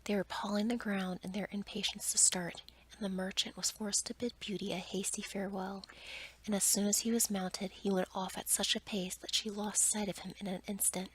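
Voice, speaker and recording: A woman's voice: mid-range voice, flat delivery, moderate pace, low-quality recording. Whispering woman reading a bedtime story. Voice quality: soft, breathy whisper.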